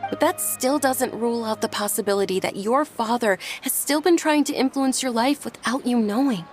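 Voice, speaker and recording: An actress playing a gentle young noblewoman who speaks softly and earnestly, with faint music behind. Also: on the high side, normal speed, close to an everyday voice. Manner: soft, polite, earnest.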